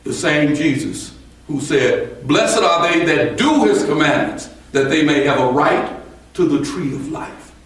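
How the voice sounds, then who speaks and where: A man preaching a sermon in a temple, his voice a little strained, speaking slowly and deliberately and rising to emphatic points. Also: a little high, lively intonation, a bit bright. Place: temple sanctuary. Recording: echoey hall from far off.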